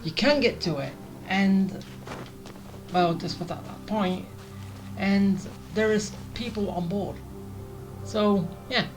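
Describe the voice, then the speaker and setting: Gamer rambling through a playthrough, mid-range voice, faint music behind, just thinking out loud. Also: mid-range voice, takes it slowly, pauses often, rough recording. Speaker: gaming streamer. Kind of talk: casual gameplay commentary.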